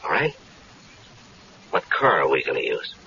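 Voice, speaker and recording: A man's voice: very deep voice, sing-song, unhurried, pushed and bright, exaggerated voice. A man voicing a wary, streetwise man who is skeptical about a murder plot, in a 1970s radio drama. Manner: wry, skeptical. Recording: dull, faintly hissy 1970s radio recording.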